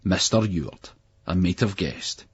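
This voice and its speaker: Stern Scottish schoolmaster, pompous and sneering, voiced by a man, husky and raised in pitch, dry muffled sound. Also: expressive, measured pace, no echo, exaggerated voice. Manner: stern, clipped, mocking. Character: strict pompous male schoolteacher, middle-aged.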